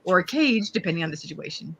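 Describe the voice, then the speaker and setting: A woman's voice: fairly low pitch, expressive, quick pace, a bit bright, slight background noise. A woman playing a tabletop roleplay game, casually explaining her ideas in a hesitant, tentative way. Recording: dull lo-fi voice audio.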